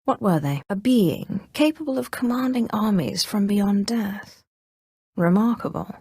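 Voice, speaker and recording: A woman's voice: medium pitch, animated, unhurried, mellow, husky, no room sound, studio quality. A woman voicing an imperious, haughty Sith sorceress in a video game, speaking in a commanding, controlled and theatrical way with cool menace. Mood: haughty, assured. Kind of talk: scripted video game voice acting.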